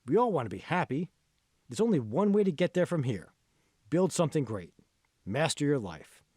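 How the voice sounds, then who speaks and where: An earnest mentor played by a man lectures with emphatic, instructive force. His pitch moves in lively swings, in a close, dry audiobook recording. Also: normal speed, breathy edge, no echo. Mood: earnest, encouraging.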